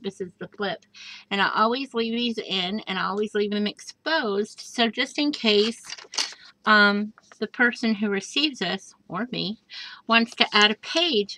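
A woman with a bright, clear voice chats through a craft walkthrough. She talks casually and gushes over each piece, slipping in soft asides and little fillers. She is recorded indoors at a craft table, filmed from above. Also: mid-range voice, slight background noise, rough recording. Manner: chatty and gushing, with many fillers and soft asides. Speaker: crafter showing a handmade junk journal. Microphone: overhead camera above a craft table.

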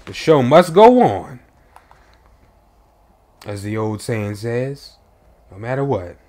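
A man's voice: medium pitch, very animated, slow, warm tone. A young man with a stuffy, nasal voice from a cold, chatting to viewers while he plays. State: congested, recovering from a cold. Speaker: gaming channel host. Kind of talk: casual gameplay commentary.

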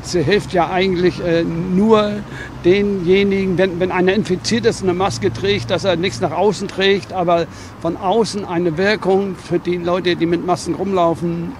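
A man's voice: sits high, flat delivery, normal speed. An older man talking casually as a passer-by in a roadside interview on a handheld mic. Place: beside a road outdoors. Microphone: interviewer's handheld microphone. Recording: clear car and street noise.

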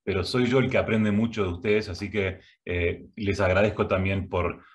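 A middle-aged man speaks with an Argentine Spanish accent in a somewhat low voice. He talks in a halting way, full of filler sounds and restarts. He is recorded on a webcam in a home office. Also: steady tone. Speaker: guest speaker, arts education director. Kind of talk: prerecorded video talk. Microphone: webcam, head and shoulders framing.

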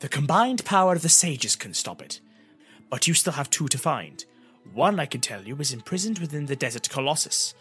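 A man's voice: fairly high pitch, sing-song, normal speed, a bit bright, slightly rough, faint music behind, voice pushed up, full character voice. A man voicing a solemn, foreboding male seer who speaks formally and emphatically in a fantasy story. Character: grave, foreboding male time-travelling seer.

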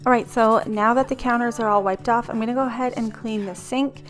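A woman's voice: a little high, brisk, soft background music. A woman in her thirties narrating her home cleaning video in a casual, rambling voice-over. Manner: chatty, rambling, relaxed asides. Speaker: cleaning vlogger.

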